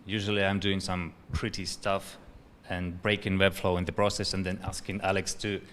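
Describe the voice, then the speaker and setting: A man's voice: sits low, level tone, moderate pace, fairly bright tone. A man introducing himself in an offhand, self-deprecating way. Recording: reverb with faint background noise.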